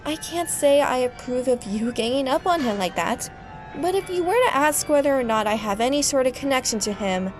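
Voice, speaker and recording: A woman's voice: sits high, animated, brisk, soft background music. A woman playing a noble young girl, stern yet gracious, speaking earnestly and formally in an anime fandub. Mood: composed, resolute.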